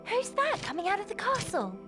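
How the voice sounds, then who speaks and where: A woman's voice: very high voice, wildly swooping pitch, fairly quick, heavily acted. A woman playing a clever, anxious teenage girl witch with urgent, pleading delivery in a video game. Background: loud music.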